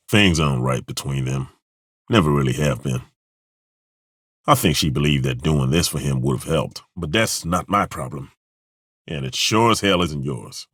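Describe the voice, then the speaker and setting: Konstanten, a gruff old dwarf. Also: male voice, sits low, sharp tone, no echo, heavily acted. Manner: dry, sardonic, plain-spoken, with sighs. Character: gruff old male dwarf, blunt and wry. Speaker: companion character. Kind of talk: game character voice lines.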